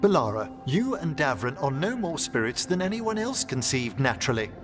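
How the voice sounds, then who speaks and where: A scholarly mage speaks in precise, formal phrases with a very animated pitch range. He sounds erudite and absorbed, in fantasy game drama with music behind him. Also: normal speed. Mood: fascinated. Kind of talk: video game voice acting.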